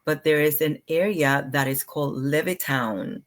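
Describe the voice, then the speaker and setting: A middle-aged woman from Puerto Rico, a clinical psychologist, speaking softly and unhurriedly in an interview conversation. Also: low voice, moderate pace.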